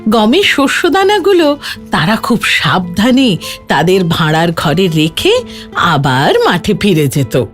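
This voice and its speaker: A woman narrates a children's moral tale in an animated voice that rises and falls freely. Her pitch sits in a middle range, and gentle music runs behind the scripted storytelling. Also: slow.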